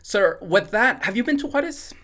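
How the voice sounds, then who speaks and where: A man's voice: sits high, sing-song, normal speed, sharp tone. A man born in Mexico, hosting a podcast and asking his guest friendly, curious questions. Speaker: podcast host. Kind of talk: casual interview conversation.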